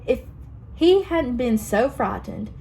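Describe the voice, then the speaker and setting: A young woman reading a picture book aloud to children, expressive and pausing to ask them questions. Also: on the high side, vocal fry, a little hiss or hum, rough recording. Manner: expressive, asks listeners questions. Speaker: children's story reader.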